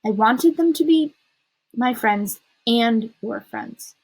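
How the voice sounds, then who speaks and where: Woman voicing a dramatic, pleading schoolgirl, high and husky. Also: expressive, slow pace, full character voice, low-quality recording. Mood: earnest. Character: dramatic, talkative schoolgirl, pleading best friend.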